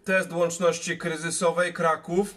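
Male radio operator, slightly high voice, very noisy static, emergency test calls. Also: little pitch movement, measured pace. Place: small indoor radio corner.

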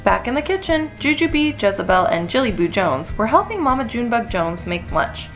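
Animated woman reading a children's story. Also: a little high, a little fast, soft background music. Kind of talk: expressive children's read-aloud.

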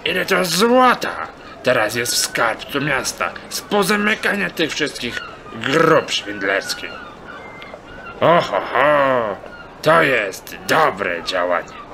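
A man's voice: mid-range voice, huge pitch swings, slow pace, creaky, noisy background, heavily acted. An actor playing a stubborn, pious and grumbling old man in an audio drama. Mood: smug. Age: older.

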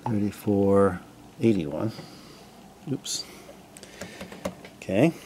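Low-voiced man thinking aloud at a workbench. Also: drawn-out words, slight background noise. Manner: relaxed, thinking aloud with many fillers. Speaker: hobbyist restoring a vintage radio. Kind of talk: casual workshop narration. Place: home workbench, indoors.

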